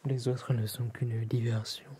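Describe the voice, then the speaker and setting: A Frenchman speaks in a hushed, breathy whisper, very unhurried and mellow. He plays an attentive tailor in an ASMR roleplay, with courteous, formal wording. Faint noise sits behind his voice. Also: mid-range voice, level tone. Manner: polite, formal, attentive shopkeeper address.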